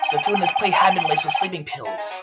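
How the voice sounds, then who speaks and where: A man voicing a friendly, bumbling police detective in a video game fandub, gruff and plainspoken. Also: fairly high pitch, expressive, fast talker, loud music behind the voice, natural voice. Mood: reluctant, earnest. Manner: folksy, blunt, plainspoken.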